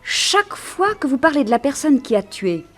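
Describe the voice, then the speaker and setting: A woman's voice: high voice, big pitch swings, normal speed, fairly bright tone, faint music behind. An actress playing a polite, inquisitive lady who joins a detective's questioning in a French radio drama. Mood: curious, insistent.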